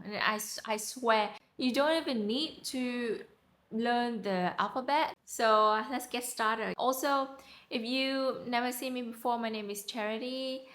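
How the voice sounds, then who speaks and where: A young woman with a Vietnamese accent, excitably teaching travel phrases in a casual vlog style and repeating them for practice. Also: on the high side. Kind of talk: casual chatty lesson. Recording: roomy echo.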